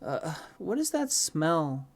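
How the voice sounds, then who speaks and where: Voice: medium pitch, big pitch swings, slow, pitched above the performer's own voice. A performer voicing a timid, frightened young child who speaks hesitantly. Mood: anxious.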